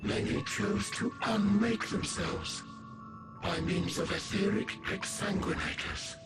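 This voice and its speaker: A man's voice: high voice, slow pace, loud music behind the voice. A man voicing a bleak, superior alien being in a video game, speaking in solemn, archaic, condescending phrases. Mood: solemn, resigned. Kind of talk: scripted video game drama acting.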